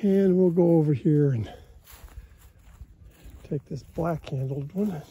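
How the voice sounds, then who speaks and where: A man's voice: a little high, slow, husky, lo-fi sound. An American man, a cattle farmer with a folksy, plain-spoken way, casually narrating his chores outdoors into a handheld phone. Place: outdoors in an open pasture. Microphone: handheld phone held by the speaker behind the camera.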